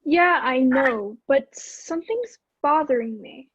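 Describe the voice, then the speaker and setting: A woman's voice: high voice, animated, slow pace. A young woman acting in a play as a blunt young questioner who presses for answers. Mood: suspicious. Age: young adult. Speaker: student actor in a school play. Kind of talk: one-act play acting. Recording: tinny phone-like line.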